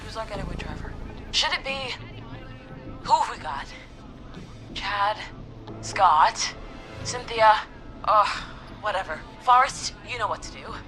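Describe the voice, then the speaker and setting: A woman voicing a gutsy, nervy teenage girl who leads an escape plan in a video game, excitable and rallying. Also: mid-range voice, unhurried, pauses often. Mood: determined, upbeat. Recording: music behind the voice.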